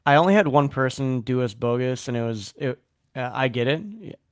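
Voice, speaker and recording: A man hosting a podcast, chatting loosely and telling a rambling travel story full of fillers. His voice is smooth and clear, recorded dry and close. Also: medium pitch, animated, normal speed, no echo. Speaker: podcast host. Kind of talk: casual chat.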